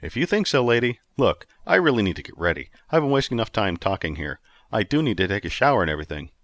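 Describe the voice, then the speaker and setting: An exasperated young guy with a dry, impatient, sarcastic way of talking, read by a man close to his own voice. The pitch swings a lot, and the sound is close and dry. It is an everyday storybook lead annoyed by his visitors. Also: very animated, fast, fairly bright tone, no echo.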